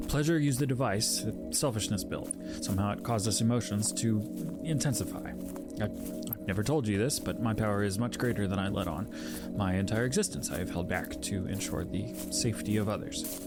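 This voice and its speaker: A man's voice: lowish voice, normal speed, close to an everyday voice. Man acting Fear, a solemn, anguished spirit over music. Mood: grave, troubled. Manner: formal, archaic, solemn wording. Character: Fear, powerful anguished male emotion spirit, self-restraining, dreaded. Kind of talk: audio drama acting. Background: music under the voice.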